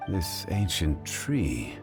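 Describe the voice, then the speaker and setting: An actor playing a calm, dignified man in a video game, speaking in a measured, formal, authoritative way. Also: sits low, over loud music, full character voice. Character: calm, dignified, composed male consultant leading an expedition. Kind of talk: video game character dialogue.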